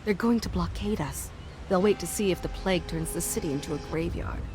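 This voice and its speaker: A woman's voice: lowish voice, fairly quick, music in the background, lightly acted. A woman playing a regal, compassionate empress and mother in a video game, formal and commanding. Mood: troubled. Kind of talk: dramatic video game acting. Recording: game scene with loud ambient noise.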